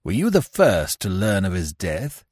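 A man's voice: deep voice, huge pitch swings, a little slow, darkish tone, breathy edge, no room sound, studio quality, heavily acted. Man as harsh, snapping British investigator. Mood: harsh questioning. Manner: curt, snapping, emphatic.

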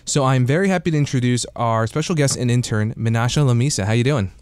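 A man's voice: mid-range voice, fast talker, a little mellow, clean tone, no room sound. A man hosting an investing podcast in a casual, conversational way, with frequent fillers. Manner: conversational, many fillers like "you know" and "uh". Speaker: podcast host.

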